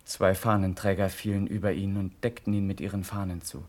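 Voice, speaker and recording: An actor playing a grave, impassioned cavalry officer, declaiming measured verse in a classical German radio drama. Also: male voice, low-pitched, level tone, a little mellow, close to an everyday voice. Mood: solemn, rousing.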